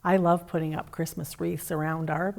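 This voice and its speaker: Woman as Santa's homely wife, kindly, lowish voice, children's holiday storytelling. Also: level tone, moderate pace, creaky. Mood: fond.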